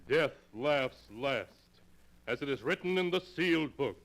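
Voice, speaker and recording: A man narrating an old-time radio mystery in a measured, suspenseful delivery. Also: medium pitch, expressive, noisy background. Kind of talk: scripted dramatic narration.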